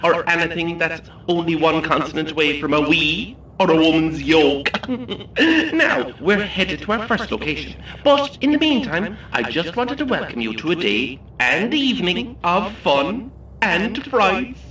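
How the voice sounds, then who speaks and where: Showy high-voiced Irish tour guide. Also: male voice, lively intonation, unhurried, a bit bright. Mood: gleeful showmanship. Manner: showy, gleefully insulting, slangy patter. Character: theatrical, cheeky, foul-mouthed male ghost-tour coach guide. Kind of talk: audio drama acting. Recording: echoey on-board PA, slightly distant, faint noise.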